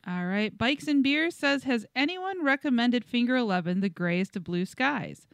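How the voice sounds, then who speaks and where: A woman's voice: fairly high pitch, expressive, normal speed, creaky, no echo. Casual female podcast host, dry sound. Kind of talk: casual podcast chat, reading out listener feedback.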